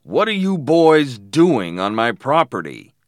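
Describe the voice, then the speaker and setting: A man voicing a menacing, drunk, thin villain who taunts and shouts threats in a story. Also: medium pitch, huge pitch swings, slow, no echo, studio quality, exaggerated voice. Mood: menacing, taunting.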